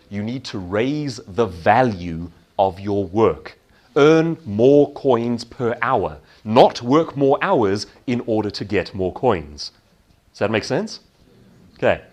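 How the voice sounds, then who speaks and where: Male keynote speaker, medium pitch, highly animated and emphatic, motivational delivery built on rhetorical questions.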